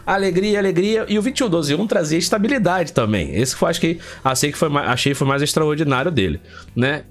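A man hosts a casual tech vlog, congested with a heavy cold, speaking emphatically and colloquially and repeating phrases for effect. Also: medium pitch. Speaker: tech YouTube channel host.

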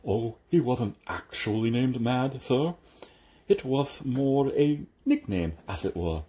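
A man voicing a calm, deferential, stitched-together manservant who answers dryly and patiently. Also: medium pitch, takes it slowly. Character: unflappable, deferential stitched-together male servant. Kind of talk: audiobook character reading.

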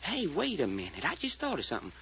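An actor playing a folksy, excitable, skeptical detective's sidekick with an American Southern drawl, in 1940s radio style. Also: male voice, a little high, fast talker, vocal fry, a little hiss or hum, heavily acted. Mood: puzzled. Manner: folksy drawl, questioning, incredulous. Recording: muffled old-time radio recording.

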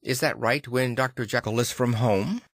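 A man voicing a perplexed, earnest gentleman lawyer in an audiobook, musing and emphatic. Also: medium pitch, moderate pace, no room sound, clean studio sound, natural voice. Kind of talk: dramatic audiobook character reading.

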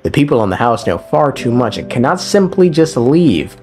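A young man recaps a game story in a casual but scripted way. His mid-pitched voice swings up and down in a lively fashion, with dry, irreverent, slangy asides. Faint guitar music plays underneath. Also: normal speed. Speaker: game lore video narrator.

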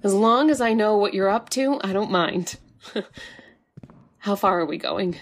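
A woman's voice: mid-range voice, takes it slowly. A woman playing a nervous wife led underground by her husband in a radio drama, stammering and pleading. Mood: uneasy.

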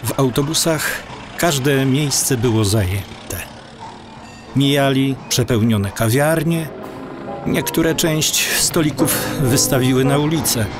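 Casting: male storyteller for an audio drama, mid-range voice, very unhurried, measured and descriptive. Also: mid-range voice, music in the background. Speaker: narrator. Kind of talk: scripted audiobook drama narration.

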